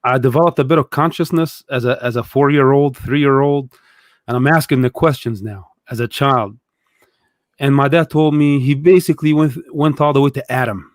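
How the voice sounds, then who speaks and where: A thoughtful young man from Egypt tells his story as a guest in a relaxed talk. He pauses often and fills the gaps with ums. His voice sits at a middle pitch and sounds dry and close. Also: dry recording. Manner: thoughtful, hesitant, many fillers. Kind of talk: casual conversation, storytelling.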